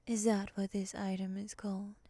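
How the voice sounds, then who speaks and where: A woman acts out a possessive female moth monster in an ASMR roleplay. Her voice is breathy, with a creaky edge, recorded very dry and close. She speaks formally and condescendingly, with quiet menace. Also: medium pitch, little pitch movement, dry recording, natural voice. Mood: coolly polite. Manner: hushed, slow, formal and condescending.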